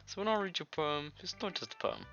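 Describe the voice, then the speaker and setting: A man's voice: sits high, brisk, sharp tone. A man with a cold doing a casual, jokey let's-play, reading visual novel dialogue aloud with his own asides. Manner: jokey, giggly, informal. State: congested with a nasty cold, coughing. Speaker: video game let's-player. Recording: dull lo-fi mic with music behind. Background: game music.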